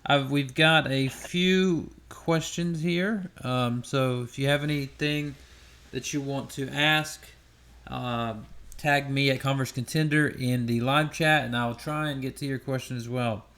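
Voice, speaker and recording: A man moderating a debate, reading out live-chat questions in a relaxed, friendly way with many fillers. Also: medium pitch, measured pace.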